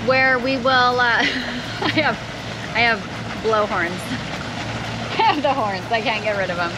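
A woman's voice: fairly high pitch, takes it slowly. A woman hosting a sailing vlog in casual, giggly, easygoing chat, recorded outdoors on a boat. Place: outdoors on a sailboat. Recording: noisy open-air boat recording.

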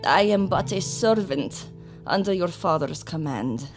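A woman acts out an old servant of a vampire king in a gothic audio drama. She is solemn and formal, telling things slowly in a lowish, creaky voice over clear music. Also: animated. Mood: solemn, wistful. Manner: formal, measured storytelling.

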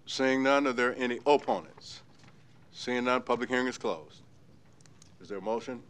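A man with a Midwestern American accent chairing a city council meeting, halting and full of fillers, at a desk mic in a large chamber. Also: medium pitch, a bit bright, clear, resonant tone. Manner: halting, many fillers and restarts. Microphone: desk microphone.

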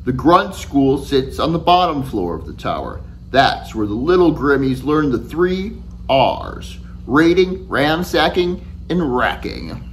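A middle-aged man, an author reading his children's novel aloud to camera in an expressive, deliberate storytelling manner. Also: medium pitch, a little hiss or hum. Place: furnished room at home, indoors.

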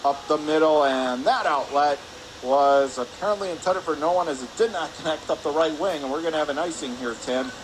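High-pitched male hockey play-by-play announcer. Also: a little fast. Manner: clipped, urgent phrases while calling action. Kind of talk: live radio sports play-by-play commentary. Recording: clear arena noise behind voice. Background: ice rink ambience.

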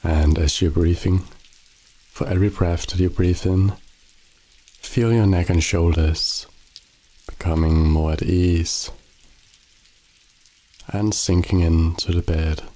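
A man with a very low, mellow voice leads a sleep meditation, speaking slowly and softly with a flat, even delivery. Rain patters steadily behind him. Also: poor audio. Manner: slow, soothing, calm instructions. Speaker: meditation guide. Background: rain sounds.

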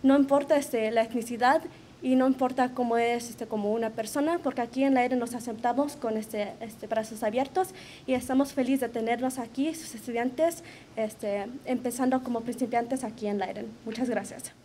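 A teenage girl, a student mentor, giving an earnest prepared speech to parents from a podium, pausing often on filler words. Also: fairly high pitch, steady tone, dark tone, slight background noise. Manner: earnest, many hesitations with "este". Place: school hall at a podium. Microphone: podium microphone.

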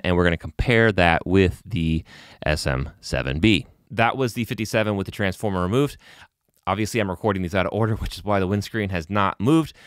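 A man's voice: low-pitched, a bit bright, clean tone. A man in his thirties with a nasal voice, chatting casually to camera about gear in a small home studio, close to the mic, with frequent fillers. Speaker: video host testing microphones. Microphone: studio microphones close in front.

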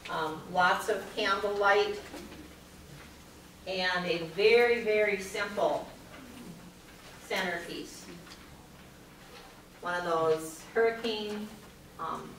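A woman's voice: mid-range voice, a bit bright. A middle-aged woman, a master gardener, gives a chatty, practical demonstration of home decor to an audience, with many fillers. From Minnesota. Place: large meeting room with an audience. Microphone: far camera at the back of the room. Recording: echoey room, far from mic, audience noise.

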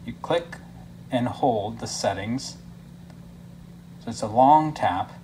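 A man's voice: medium pitch, takes it slowly, dark tone. Man giving casual step-by-step tutorial, noisy background. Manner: instructional, step-by-step. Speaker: product demonstrator. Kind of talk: hands-on tutorial narration, casual. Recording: clear background noise, room ambience.

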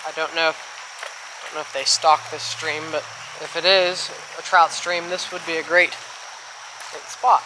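A man's voice: fairly high pitch, little pitch movement, moderate pace, pauses often, background noise. A man in his thirties vlogging outdoors by a covered bridge, chatting to viewers as friends while filming himself at arm's length. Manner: chatty, explains as he goes, addresses viewers as friends. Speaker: travel vlogger. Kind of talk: casual walk-and-talk vlog. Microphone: handheld camera at arm's length.

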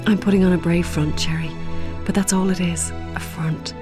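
A wistful, quietly sad woman, played by an actress, speaks in a slightly low voice with a brave front. It is audio drama, with music clearly playing behind her. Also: fairly even intonation, normal speed, lightly acted. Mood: wistful, tender. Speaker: actor. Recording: music under the voice.

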